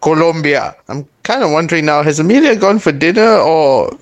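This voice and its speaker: A man co-hosting a podcast in casual chat, animated and laughing often. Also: fairly high pitch, normal speed. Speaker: podcast co-host.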